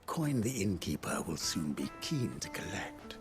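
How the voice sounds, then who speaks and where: A man's voice: deep voice, sing-song, measured pace, over music. A man playing a deferential, patient manservant in a video game, speaking with formal, obliging eloquence. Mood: courteous, obliging. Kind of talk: video game cutscene acting.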